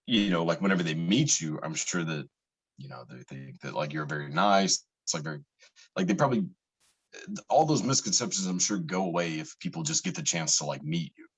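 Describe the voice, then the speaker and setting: A man's voice: low-pitched, husky. A man interviewing a guest, asking long rambling questions full of "like" and "you know".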